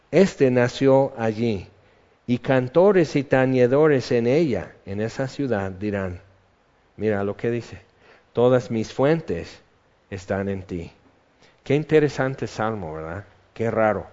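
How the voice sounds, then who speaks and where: A man's voice: mid-range voice, a little mellow. A man preaching a sermon in a conversational way, reading psalm verses aloud. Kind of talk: sermon, Bible teaching. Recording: dull reverberant hall recording.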